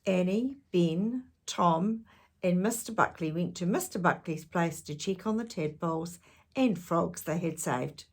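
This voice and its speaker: Low husky woman reading a children's story. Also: unhurried. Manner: expressive, addresses young listeners with questions. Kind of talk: read-aloud storytelling with chatty asides.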